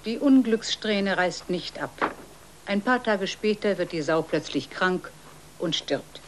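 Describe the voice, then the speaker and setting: A woman's voice: medium pitch, animated, takes it slowly, background noise. A woman giving scripted narration as the storyteller of a children's audio play.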